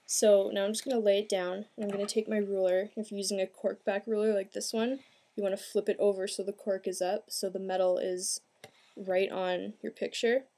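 A woman's voice: mid-range voice, little pitch movement, fairly quick, mellow. A young adult woman giving an informal, plain-spoken step-by-step craft demonstration, recorded indoors at home. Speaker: craft tutorial host. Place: small room at home, indoors. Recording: clear background noise, amateur home video.

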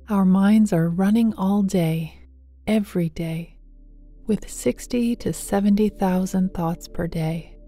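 A woman guides a meditation in a very dark, soft, mellow voice. She speaks slowly and gently, hushed and often breathy to the edge of a whisper, addressing the listener with tender affection. Soft music plays behind her. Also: medium pitch. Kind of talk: guided meditation, slow and soothing. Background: soft meditation music with singing bowls.

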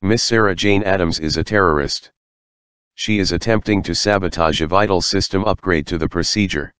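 A menacing artificial intelligence from an audiobook, voiced by a man. The voice is very deep and crisply clear, recorded dry and close. It speaks in clipped, formal phrases with no emotion. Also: a little fast, fairly bright tone, no echo. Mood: cold, insistent. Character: cold, menacing artificial intelligence delivering dire warnings. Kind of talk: audiobook character voice.

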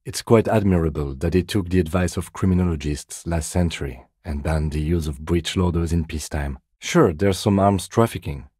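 An actor playing a composed, dry-witted, by-the-book middle-aged police detective in a video game, speaking in a measured, precise, deadpan way. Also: male voice, low-pitched, slightly rough, dry recording. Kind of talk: video game character dialogue.

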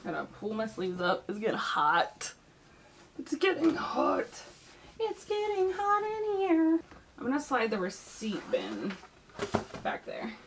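A woman's voice: high voice, huge pitch swings, takes it slowly. A woman in her thirties chatting casually as she tidies her beauty shelves indoors, moving toward and away from the camera mic. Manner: chatty, rambling, thinking aloud while tidying. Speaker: beauty YouTuber. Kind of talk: casual cleaning vlog chat. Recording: noisy room tone with clicks.

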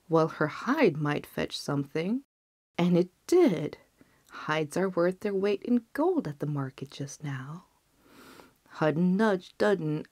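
A woman's voice: lowish voice, darkish tone, no echo. A young woman reading a fairy tale aloud indoors, acting out the characters' voices. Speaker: storybook reader. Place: small indoor set with a red curtain.

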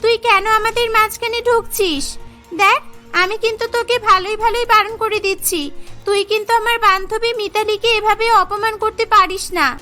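A loyal young schoolgirl in a cartoon, acted by a woman, very high and bright. She is childlike, outspoken and assertive, with music behind her. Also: fairly even intonation, fairly quick. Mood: scolding, defiant. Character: loyal, outspoken rich schoolgirl best friend.

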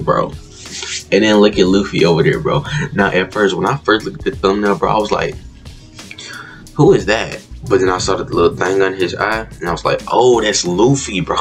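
A young man hosting a reaction video from his bedroom, laid-back and chuckling, with a groggy just-woke-up morning voice. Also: on the low side. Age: young adult. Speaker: reaction channel host. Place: bedroom, indoors.

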